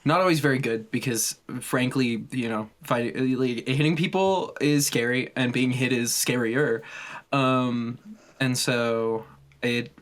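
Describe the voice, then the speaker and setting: A man in casual chat, talking haltingly with many fillers and self-deprecating asides. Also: mid-range voice.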